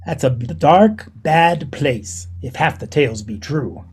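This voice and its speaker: A woman voicing a wary old male hobbit neighbor in a storybook, speaking slowly and ominously. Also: sits low, lively intonation, darkish tone, husky, pitched below the performer's own voice, full character voice. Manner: slow, foreboding.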